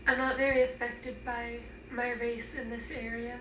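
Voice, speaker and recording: A woman's voice: a little high, level tone, unhurried, fairly bright tone. A young woman giving hesitant, casual answers in an interview. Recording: echoey, distant, noisy.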